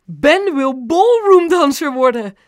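A man voicing an excitable, dramatic mother with an emphatic delivery in an audiobook. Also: high voice, normal speed, dry recording, studio quality, pitched above the performer's own voice. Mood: thrilled, gushing. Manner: gushing, emphatic, scolding when crossed. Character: excitable, dramatic mother of a boy, proud nail technician. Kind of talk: audiobook character voice.

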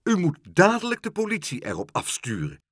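Male reader as indignant storybook woman, high-pitched, snappish, nagging, wildly swooping delivery. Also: huge pitch swings, a little fast, fairly bright tone, slightly rough, no room sound, clean studio sound, full character voice. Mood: alarmed. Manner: snappish, shrill, nagging complaints. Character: fussy, indignant, scolding woman, easily outraged. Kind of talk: children's storybook character voice.

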